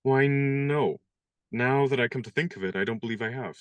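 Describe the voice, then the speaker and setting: A man playing a wry young gentleman valet. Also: mid-range voice, moderate pace, smooth, no room sound, lo-fi sound, lightly acted. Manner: dry, good-humored and polite. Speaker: actor in radio play. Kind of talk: radio play acting.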